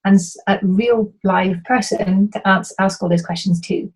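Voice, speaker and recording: A woman's voice: mid-range voice, fairly even intonation, unhurried, slightly rough, poor audio. A woman from Wales with a light, toned-down British accent, answering interview questions as a business coach, earnest and explanatory, often restarting her sentences. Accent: light British, toned-down Welsh.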